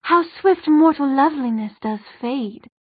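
A haughty fairy queen of ageless cunning, as a woman narrator acts her out. Her voice is on the high side and close and dry. She speaks in formal, imperious phrases, as a storybook ruler who looks down on her listeners. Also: normal speed, breathy edge, dry recording, narrow, tinny audio. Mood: gloating.

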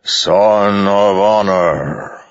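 A man voicing an ancient male storm god in an audiobook, deep, slow and mournful, with a roaring grave tone. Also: clean tone, no room sound, highs cut off.

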